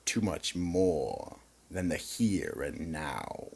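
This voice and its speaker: A man with an extremely low, creaky voice narrates a mystery drama for radio. He speaks slowly and dramatically, with gravel in the tone and lively rises and falls. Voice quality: gravelly, raspy.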